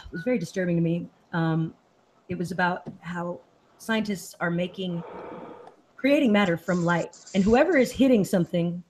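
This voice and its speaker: A woman's voice: fairly low pitch, a little mellow, slight background noise, rough recording. A woman from Austin, Texas, hosting a casual live chat and reading viewer comments aloud in a chatty, easily sidetracked way.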